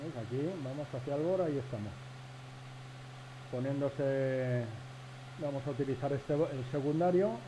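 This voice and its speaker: A man's voice: medium pitch, measured pace, pauses often, noisy background. A man from Spain with a Spain Spanish accent gives casual, informal running commentary as a pilot flying, muttering to viewers as he works. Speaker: flight simulator pilot narrating.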